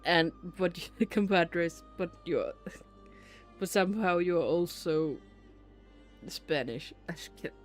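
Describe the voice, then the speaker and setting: A man's voice: high voice, lively intonation, slow. A man streaming a game with casual, teasing, self-deprecating commentary and some swearing. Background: game music.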